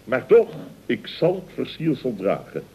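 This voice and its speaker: An actor playing a pompous, excitable, sentimental middle-aged contractor boss in radio comedy, blustering, stammering and repeating himself. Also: male voice, mid-range voice, unhurried, gravelly, heavily acted. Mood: sentimental pride. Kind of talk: 1970s Dutch radio comedy acting. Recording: dull vintage radio recording.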